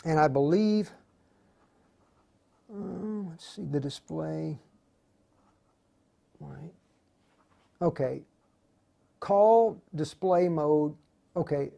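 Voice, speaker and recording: A man hosting an unscripted tech tutorial, informal and halting, often muttering to himself as he works through the steps. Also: on the high side, creaky, dark tone. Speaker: tutorial host demonstrating radio programming. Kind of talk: unscripted livestream tutorial, thinking aloud.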